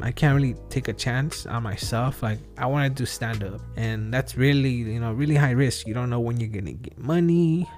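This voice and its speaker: A man in his thirties hosting a solo podcast, thinking out loud in a rambling, self-questioning way with many fillers and restarts. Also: mid-range voice. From outside the US. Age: 30s. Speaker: podcast host. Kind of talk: solo reflective podcast monologue.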